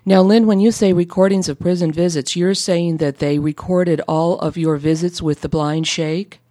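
A woman hosting a radio interview, giving measured, formal introductions before questioning her guest. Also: lowish voice, steady tone, mellow, no room sound. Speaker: radio host.

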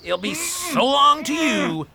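A man playing high-voiced, cocky western outlaw boss. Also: sing-song, takes it slowly, creaky, crowd noise, full character voice. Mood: gloating. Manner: taunting, bossy, folksy western talk. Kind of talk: amateur radio western acting.

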